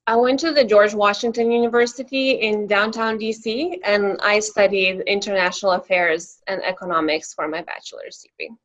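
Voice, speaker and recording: A woman's voice: mid-range voice, fairly even intonation, normal speed, hardly any pauses, fairly bright tone, dull audio. A woman in her twenties from Lithuania with a Lithuanian accent, her first language, answering interview questions in an earnest, open way. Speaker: guest.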